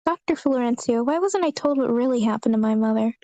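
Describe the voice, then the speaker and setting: A woman's voice: on the high side, fairly quick, warm tone, lightly acted. A woman playing a guarded grown daughter at a screenplay table read, answering in understated, brief replies. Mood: guarded, doubtful. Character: guarded grown daughter and therapy patient, Josie.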